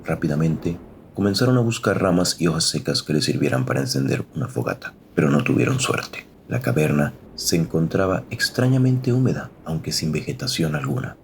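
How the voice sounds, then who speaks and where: Very deep male story narrator. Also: a little fast, vocal fry, a little hiss or hum. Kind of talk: scripted dramatic story narration.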